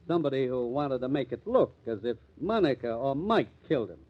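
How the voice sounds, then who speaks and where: An actor playing a brisk, suspicious police detective in a 1950s radio mystery. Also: male voice, medium pitch, very animated. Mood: reasoning. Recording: muffled old radio recording.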